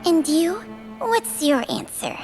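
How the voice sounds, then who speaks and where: A woman's voice: extremely high pitch, big pitch swings, slow, heavily acted. A woman playing an ancient, world-weary spirit in a video game, with a languid, wry and knowing manner. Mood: weary, resigned. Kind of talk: dramatic video game dialogue. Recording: loud music under the voice.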